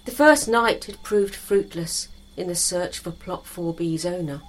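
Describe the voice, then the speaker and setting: A woman's voice: lowish voice, measured pace. A British woman narrating a scripted story as a storyteller.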